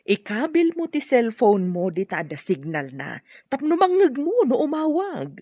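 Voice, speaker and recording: A woman's voice: a little high, big pitch swings, normal speed, dry recording, highs cut off. A woman playing a meddling, scornful middle-aged mother in an Ilocano radio drama, with an Ilocano accent. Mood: nagging.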